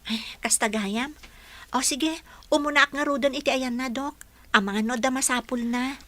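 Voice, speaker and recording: Young woman caregiver played by a woman, Ilocano accent, crisp forward tone, deferential, dutiful, radio drama. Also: high-pitched, fairly even intonation, normal speed, pushed and bright, a little hiss or hum. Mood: worried. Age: young adult. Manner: polite, deferential.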